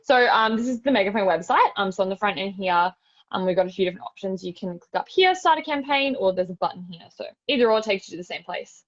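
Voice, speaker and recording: A woman's voice: medium pitch, lively intonation, fast talker. An Australian woman giving an informal step-by-step online tutorial in a casual, chatty way. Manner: casual, chatty, frequent "like" and "super". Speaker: webinar presenter giving a demonstration. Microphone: video call. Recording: dull lo-fi video call audio.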